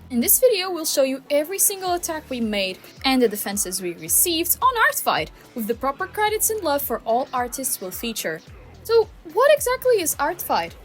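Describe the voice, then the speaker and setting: A woman's voice: high voice, very animated, moderate pace. A woman co-hosting a casual art commentary in a chatty, encouraging, informal way. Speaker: co-host, digital artist. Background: background music.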